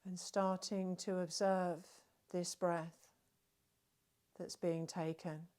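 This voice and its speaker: Slow, even-toned woman guiding relaxation. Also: lowish voice. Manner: gentle, soothing, unhurried with long pauses. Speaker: relaxation guide. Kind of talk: guided meditation narration.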